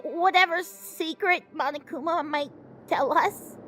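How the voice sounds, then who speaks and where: A woman voicing a timid, gentle teenage boy in an anime fandub, earnest, hesitant and pleading. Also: extremely high pitch, unhurried, heavily acted. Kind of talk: dramatic anime-style fandub acting. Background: faint music behind the voice.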